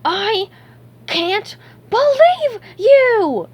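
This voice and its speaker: A woman voicing an excitable, overdramatic young male cartoon sponge who wails and exclaims. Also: very high-pitched, measured pace, pitched above the performer's own voice, full character voice. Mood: horrified, outraged. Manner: dramatic, wailing and exclaiming.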